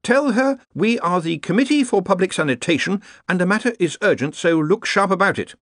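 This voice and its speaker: A man's voice: sits high, animated, fairly quick, a bit bright, no echo, studio quality, voice pushed up. A man voicing a harried, earnest academic wizard who organises everyone in a fussy, polite, explanatory way, in a storybook reading. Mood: officious, stern.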